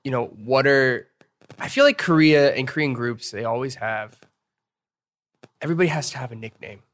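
A male host runs a relaxed interview, chatty and quick to joke. His questions come out loose and conversational. His voice sits at an ordinary pitch for a man. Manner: playful, joking, chatty questioner. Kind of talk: casual interview chat.

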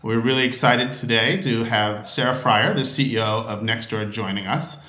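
A man's voice: medium pitch, fairly even intonation, bright, forceful tone. A man hosting an interview, talking in a friendly way with some fillers and restarts. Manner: friendly, slightly halting with fillers. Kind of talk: hosted interview. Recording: echoey room sound.

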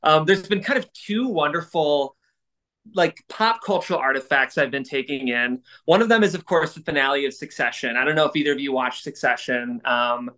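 A man's voice: on the high side, moderate pace, sharp tone. A man co-hosting a podcast in casual chat, talking in an enthusiastic, reflective way. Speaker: podcast co-host and producer.

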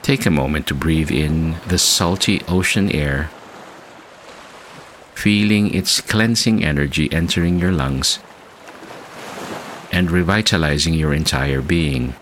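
Very deep-voiced man guiding meditation. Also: fairly bright tone, slight background noise. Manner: measured, soothing delivery with long pauses. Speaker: meditation podcast host. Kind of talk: slow guided meditation narration. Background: music bed.

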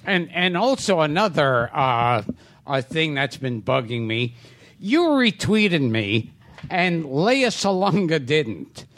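A man's voice: a little high, very animated, takes it slowly, fairly bright tone. A man hosting a podcast, trading brash, crude jokes with his guest. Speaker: podcast host. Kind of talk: comedic banter.

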